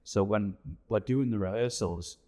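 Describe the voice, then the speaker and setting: A man's voice: lowish voice, a little slow, warm tone. A man giving casual interview answers as an amateur theatre director.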